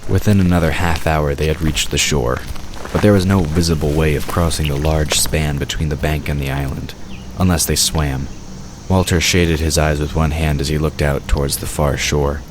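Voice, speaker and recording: A man's voice: extremely low pitch, fairly even intonation, normal speed, background noise. A man narrating a scripted audio drama as its storyteller.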